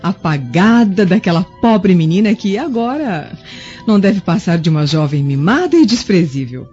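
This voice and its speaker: A woman acts a proud, scornful Roman matron in a radio drama. Her tone is dark and her delivery theatrically disdainful. The recording is slightly muffled, with faint music. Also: lowish voice, expressive, normal speed, close to an everyday voice.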